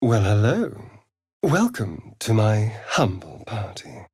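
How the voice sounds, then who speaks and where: Sardonic vampire rogue, arch and theatrical, slow drawl, wildly swooping pitch, video game character, dry close sound. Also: male voice, sing-song, a little mellow, husky, no room sound, full character voice. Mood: sly, teasing.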